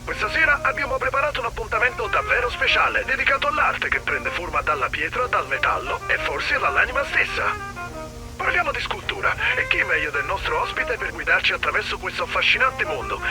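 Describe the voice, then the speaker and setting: Actor playing high-voiced, animated TV talk show host. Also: male voice. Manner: formal, effusive, theatrical announcer delivery. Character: polished, upbeat male TV talk show host. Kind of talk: scripted television presenting.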